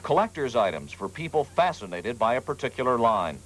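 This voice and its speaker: A man's voice: mid-range voice, very animated, fairly quick, a bit bright, low-quality recording. An American man working as a television reporter, reading scripted feature narration. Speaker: TV news reporter. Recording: faint background noise, 1980s TV broadcast.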